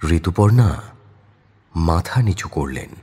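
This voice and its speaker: A man's voice: deep voice, expressive, takes it slowly, mellow, breathy edge. A man narrating a crime audio story in a dramatic, scripted storytelling style.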